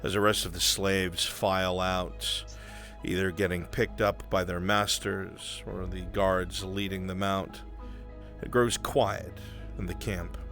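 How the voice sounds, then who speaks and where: A man with a fairly low voice narrates a roleplay game as its game master. His delivery is dramatic and brooding, with deliberate pauses. Music plays softly behind him. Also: flat delivery. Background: background music.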